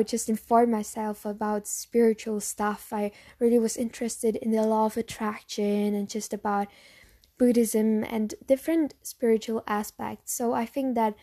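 A woman's voice: on the high side, mellow. Earnest young German woman vlogging. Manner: earnest and frank, with frequent fillers. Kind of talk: casual talk to camera answering questions.

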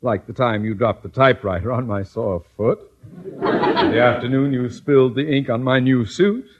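A man's voice: medium pitch, moderate pace, husky, close to an everyday voice. A man playing a pompous, scheming middle-aged school principal with formal, theatrical diction in an old-time radio comedy. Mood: falsely sweet. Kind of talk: 1940s-50s radio comedy acting. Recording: dull old radio recording.

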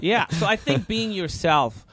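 A man's voice: high voice, animated, measured pace, vocal fry, a bit bright, slightly rough, no echo. A man in his thirties with a Pakistani accent, a comedian chatting as a podcast guest, rambling with many fillers and restarts. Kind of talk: casual interview chat.

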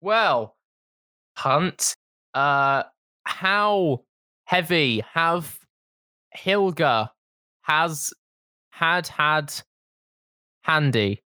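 A young man co-hosting a podcast, chatting casually and teasing his friend with quick jokes. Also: on the high side, animated, pushed and bright, no room sound. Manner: joking, teasing. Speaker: podcast co-host. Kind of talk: casual chat.

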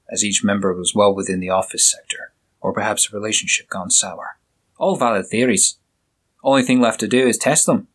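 A man's voice: fairly bright tone, husky. A man doing a hearty Scottish police sergeant. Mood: brisk. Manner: booming, jovial, probing questions.

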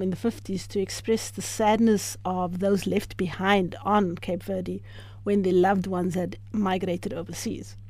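Woman hosting radio, mellow voice. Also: medium pitch, dry recording. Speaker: radio host. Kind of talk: radio interview.

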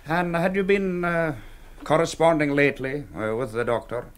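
An actor playing an older, hospitable but probing Scottish island minister in a radio drama, speaking with courteous hesitations. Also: male voice, a little high, animated, moderate pace, natural voice. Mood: probing, genial.

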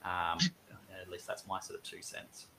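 A man's voice: sits low, a little slow, bright, forceful tone, rough recording. A man in a casual economics discussion, conversational and given to voicing imagined speakers. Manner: conversational, acts out hypothetical speakers.

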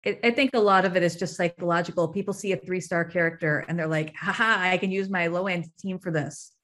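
A woman's voice: on the low side, steady tone, fast, fairly bright tone. A woman joining a casual gaming discussion as a podcast guest.

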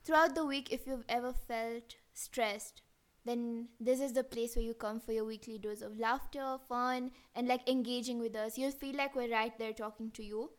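A young woman with a high voice and a mixed, Kenyan-influenced accent chats as a podcast co-host. She talks loosely and giggles often, with "like" in nearly every sentence. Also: steady tone, normal speed. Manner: giggly, chatty, fillers like "like". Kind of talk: casual chat.

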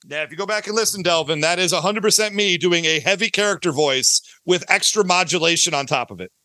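A man's voice: high voice, fast talker, sharp tone, dry recording. A talkative, enthusiastic man chatting casually as a podcast guest, promoting his own work in a self-deprecating way. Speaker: podcast guest, audio drama creator.

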